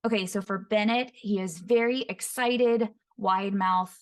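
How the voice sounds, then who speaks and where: A woman voice actor teaching voice impressions, describing each voice in short phrases and often breaking into quick character demos. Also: medium pitch, steady tone. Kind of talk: voice impression tutorial. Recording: voice somewhat far from the mic.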